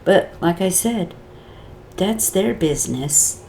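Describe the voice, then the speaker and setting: A woman's voice: on the low side, lively intonation, takes it slowly, mellow, slight background noise. A woman with a Wisconsin accent, talking her viewers through a day in court in a meandering, chatty way that drifts off topic and back. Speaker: vlogger recapping a court trial. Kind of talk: rambling casual commentary.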